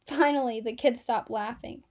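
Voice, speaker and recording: High-voiced woman reading a children's story. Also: steady tone, moderate pace, darkish tone. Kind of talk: expressive read-aloud narration.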